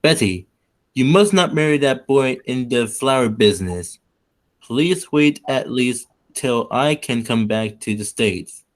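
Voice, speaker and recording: Man playing a slow-spoken, ardent young suitor. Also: medium pitch, expressive, lightly acted. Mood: pleading, devoted. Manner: earnest, pleading, deliberate. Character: ardent young suitor writing love letters from abroad. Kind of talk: 1940s radio play acting.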